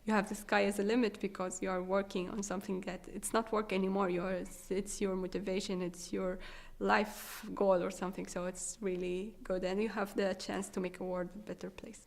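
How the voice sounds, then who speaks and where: A young woman from Syria with a Syrian Arabic accent and Arabic as her first language, a research assistant answering interview questions in halting English with many fillers and restarts. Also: medium pitch, little pitch movement, gravelly, a little mellow, a little hiss or hum. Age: young adult.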